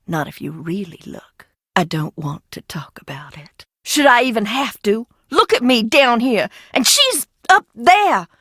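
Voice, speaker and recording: An actress playing an eccentric, mischievous and bitter heiress in a video game, theatrical and sardonic, swinging between singsong teasing and hushed menace. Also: female voice, fairly high pitch, very animated, a little slow, hardly any pauses, breathy edge, dry recording, studio quality, exaggerated voice. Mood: wary warning, then agitated, resentful. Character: eccentric, bitter, mischievous Southern heiress, secretive asylum graduate. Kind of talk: video game character acting.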